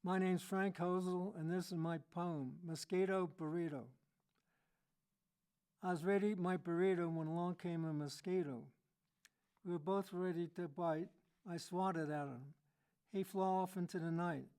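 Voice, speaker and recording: A man's voice: high-pitched, steady tone, normal speed, darkish tone, clean tone. A man reading his own light, rhyming poem. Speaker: poet.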